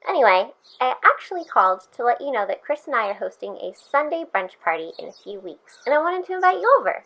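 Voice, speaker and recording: A woman's voice: a little high, very animated, quick pace, fairly bright tone, heavily acted. A woman playing a friendly, chatty, welcoming mother in her thirties in an audio drama. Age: 30s.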